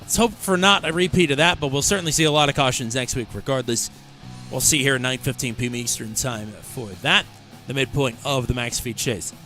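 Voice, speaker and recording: A man's voice: mid-range voice, brisk, sharp tone, soft background music. A man hosting racing commentary, upbeat, while sick and losing his voice. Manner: upbeat, rattles off schedule. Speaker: race commentator host. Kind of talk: sim racing broadcast commentary.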